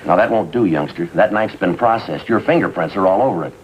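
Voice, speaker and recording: A man's voice: deep voice, a little fast, a little hiss or hum, lightly acted. An American man playing a stoic, businesslike police detective in a 1950s drama, speaking in a clipped, matter-of-fact way. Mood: firm, dry. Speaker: police detective lieutenant and narrator. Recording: dull vintage TV soundtrack, music behind.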